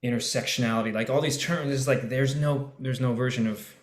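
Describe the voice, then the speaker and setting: A man talking as a guest on a panel, thinking aloud in a rambling way, full of fillers, and trailing off softly at the ends of thoughts. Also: mid-range voice, steady tone, a little fast. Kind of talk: casual panel discussion. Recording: echoey room sound.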